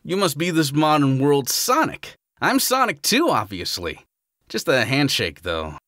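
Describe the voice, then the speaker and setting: A man's voice: on the high side, wildly swooping pitch, measured pace, sharp tone, heavily acted. Man doing a wry, laid-back hedgehog. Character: laid-back male hedgehog in athletic tape.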